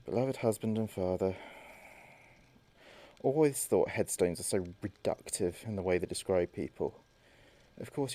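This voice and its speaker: An actor playing a grieving son who talks reflectively and haltingly to his late father in an audio drama monologue. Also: low voice, dark tone. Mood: tender, sad.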